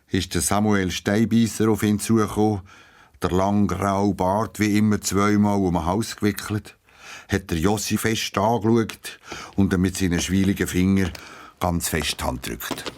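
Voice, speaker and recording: A man's voice: deep voice, normal speed. A man with a Swiss German accent narrating a scripted children's story as its storyteller. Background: music.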